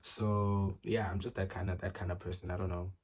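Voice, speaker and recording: A young man talks casually through viewer questions on his vlog. His voice sits on the low side, and his talk is animated and chatty, full of fillers and restarts. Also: brisk. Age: early 20s. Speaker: vlogger. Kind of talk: casual get-to-know-me Q and A.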